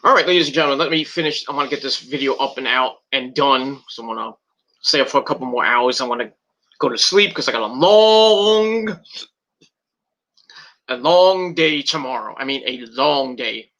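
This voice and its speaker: A man's voice: medium pitch, expressive, moderate pace, a bit bright. A middle-aged man with a cold and a tickling cough, rambling casually in a vlog with many fillers and restarts. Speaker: wrestling video reviewer.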